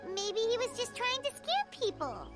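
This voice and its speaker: A woman voicing a tiny, excitable, childlike fairy companion with a squeaky voice in a video game cutscene. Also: moderate pace. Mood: surprised, chatty. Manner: speaks of herself in third person, exclamatory. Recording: game music behind the voice.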